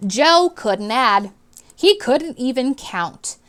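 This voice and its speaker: Animated woman reading aloud to children. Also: sits high, takes it slowly, clear, resonant tone. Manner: animated, dramatic emphasis on key words. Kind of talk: expressive children's read-aloud with questions to listeners.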